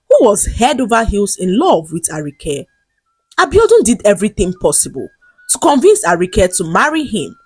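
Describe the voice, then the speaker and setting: A woman storyteller delivers a scripted folktale with highly animated pitch. Her voice has a husky edge, and the recording is close and dry. Her phrasing is deliberate and dramatic. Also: no room sound.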